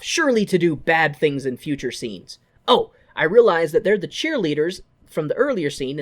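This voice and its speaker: A podcast co-host giving a casual, comedic movie recap, irreverent and sweary, with jokey asides. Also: medium pitch.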